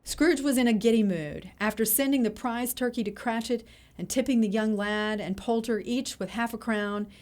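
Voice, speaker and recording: A woman's voice: a little high, normal speed, darkish tone. A woman narrating an audio drama in a scripted storytelling style. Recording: music playing behind the voice.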